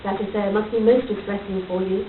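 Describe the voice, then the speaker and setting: An actress playing a devoted, gently insistent wife in a radio play, with genteel, proper speech. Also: female voice, medium pitch, steady tone, brisk, distant mic, close to an everyday voice. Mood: tender, concerned. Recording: muffled, roomy stage pickup with faint hiss.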